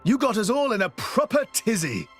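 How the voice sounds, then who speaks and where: A man's voice: high-pitched, sing-song, moderate pace, soft background music. A man playing a flustered, scolding soldier in a video game, speaking in an exasperated, colloquial way.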